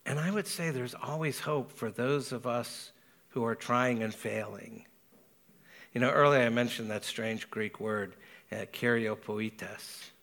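A man preaching a sermon as pastor, speaking earnestly with a Spanish accent and slowing down to stress key words. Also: medium pitch, a bit bright. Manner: earnest, measured preaching with emphatic slow stresses.

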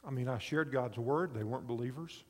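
A man's voice: mid-range voice, a little fast, darkish tone, in a large hall. A man in his fifties preaching a sermon, telling a story earnestly and drawing the congregation in. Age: 50s. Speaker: pastor and chaplain.

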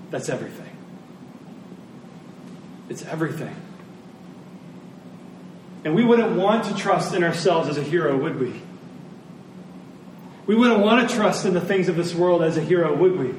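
A man preaching a sermon as a pastor, earnest and emphatic, repeating key phrases. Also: sits high. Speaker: pastor preaching to his church. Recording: roomy, distant mic, faint noise.

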